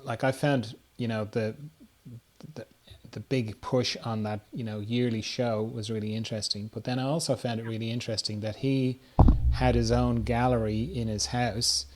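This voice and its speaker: A man hosting a podcast, chatting casually in a halting, anecdotal way. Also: medium pitch, steady tone, slight background noise. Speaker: podcast host. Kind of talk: casual chat.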